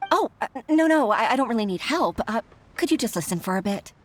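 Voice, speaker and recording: A woman's voice: a little high, lively intonation, fast, a little hiss or hum, lightly acted. A woman playing an earnest, humble secretary and mother, speaking politely and heartfelt in an anime video game. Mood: flustered.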